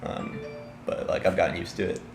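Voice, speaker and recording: A man's voice: brisk, reverberant, soft background music. A young man from Chicago answering street interview questions casually, hesitant and full of fillers. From Chicago, Illinois.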